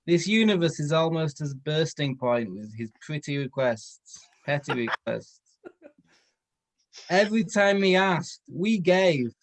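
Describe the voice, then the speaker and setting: A man reading a comic story aloud in a theatrical manner, now and then correcting his own slips. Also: on the high side. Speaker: comedy performer reading fan fiction. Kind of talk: dramatic comedic story read-aloud.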